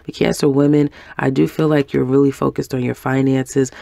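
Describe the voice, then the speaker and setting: A man with a head cold giving a weekly tarot reading, unhurried and reassuring, with many pauses and ums. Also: medium pitch, level tone, creaky, mellow, no echo. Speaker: tarot reader.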